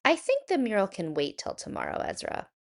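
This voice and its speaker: Woman voicing storybook painter man, earnest, worried, expressive, swooping intonation. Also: medium pitch, brisk, vocal fry. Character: earnest, worried male mural painter, easily inspired. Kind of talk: children's picture book read-aloud.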